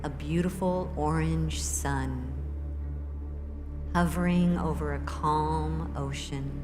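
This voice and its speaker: Low-voiced woman leading a meditation. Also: fairly even intonation. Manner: gentle, soothing, repeats affirmations slowly. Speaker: guided meditation leader. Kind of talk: guided meditation in a church service. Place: church sanctuary with live keyboard. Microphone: handheld or lapel mic. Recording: live service with loud music behind.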